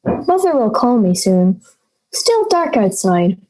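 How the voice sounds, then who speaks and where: A young woman acting a lonely, fearful girl who voices brooding inner thoughts in halting phrases in an audio drama. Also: fairly high pitch, very animated, mellow, heavily acted, rough recording. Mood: lonely, anxious.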